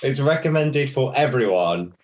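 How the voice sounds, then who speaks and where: A man co-hosting a casual podcast, talking through folk tales from notes in a relaxed, wry way with plenty of ums and uhs. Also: mid-range voice, normal speed, a crowd in the background. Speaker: podcast co-host, history expert. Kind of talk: casual podcast chat, telling folklore from notes.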